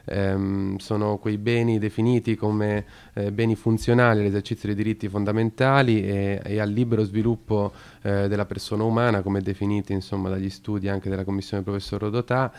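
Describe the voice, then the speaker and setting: A man's voice: lowish voice, little pitch movement, a little fast, hardly any pauses, a little mellow, clean tone. A man co-hosting a radio talk show, explaining a topic at length with many hesitations and restarts. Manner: hesitant, lots of fillers and restarts. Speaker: radio co-host.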